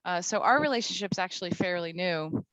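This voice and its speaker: A woman's voice: fairly low pitch, animated, brisk, a bit bright, dry recording, muffled recording. A woman in her forties from Morocco, speaking on a discussion panel in a chatty way, with frequent laughs and fillers. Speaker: panelist, CISO.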